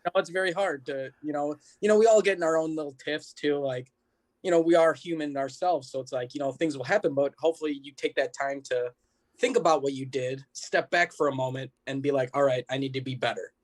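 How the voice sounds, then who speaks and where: A man's voice: fairly high pitch, a little fast. A man, a musician guest, chatting in an informal, rambling way. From United States. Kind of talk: casual chat.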